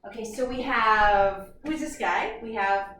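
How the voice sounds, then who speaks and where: A woman teaching young children through an interactive read-aloud, expressive as she tells the story, often stopping to ask questions and redirect her listeners. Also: mid-range voice, measured pace, soft background music. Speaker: primary school teacher. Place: echoey classroom. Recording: very echoey room, distant mic, background noise.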